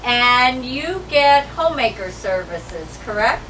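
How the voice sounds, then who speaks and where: A woman's voice: on the high side, very animated, takes it slowly, pushed and bright, distant mic, faint music behind, low-quality recording. A woman interviewer asking brief, prompting questions.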